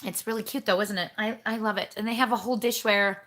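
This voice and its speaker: A chatty woman hosts a casual livestream from her home and greets viewers one by one. Her voice is bright and forceful, at a middle pitch, and she rambles in a friendly, bubbly way. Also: fairly even intonation, quick pace. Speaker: livestream host. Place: home kitchen.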